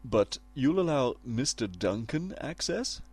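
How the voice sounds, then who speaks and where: Snarky posh-polite british narrator, comedy radio play, animated pitch, no room echo. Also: male voice, measured pace. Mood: smug. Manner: dry, sarcastic, politely formal. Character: droll, sardonic British male narrator, meddling. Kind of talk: comedic audio drama acting.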